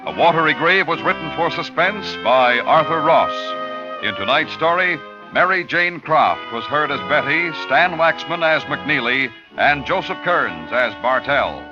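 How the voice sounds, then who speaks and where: An American man announcing a suspense radio show in a formal, dramatic, deliberate 1950s style on a vintage recording with music behind him. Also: fairly high pitch, lively intonation, highs cut off.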